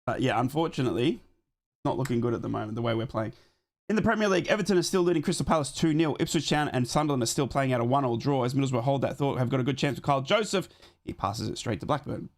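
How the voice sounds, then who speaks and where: Hoarse male streamer, casual football watchalong host, mid pitch, reads scores and donations. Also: lively intonation, quick pace. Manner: chatty, reads live scores and donations aloud, shouts on donations. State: losing his voice, a bit sick. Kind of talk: casual live football commentary and chat.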